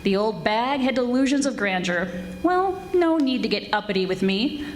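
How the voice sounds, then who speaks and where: A woman playing a brash, wisecracking young private detective in a 1940s-style radio drama, sassy and quick-witted. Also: a little high, expressive, soft background music, close to an everyday voice. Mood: wry narrating. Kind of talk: 1940s radio detective acting, dialogue and narration. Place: live stage performance of a radio play.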